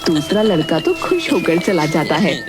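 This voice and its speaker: A woman tells a scripted story for children as the narrator of a cartoon. Music plays clearly behind her voice. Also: on the low side, big pitch swings, normal speed. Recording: prominent music bed under voice.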